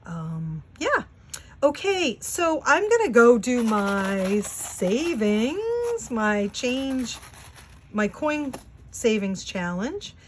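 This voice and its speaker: A woman's voice: fairly high pitch, big pitch swings, extremely slow, a little hiss or hum. New england lady, 60s, chatty, lots of ums, takes her time. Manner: chatty, meandering, many fillers. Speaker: vlogger and Etsy seller. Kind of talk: casual chat to camera. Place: home, at a wooden table.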